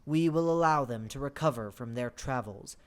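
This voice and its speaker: A performer voicing a commanding, gracious fae monarch in a formal, measured radio-play manner. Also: close to an everyday voice. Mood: announcing. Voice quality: deep.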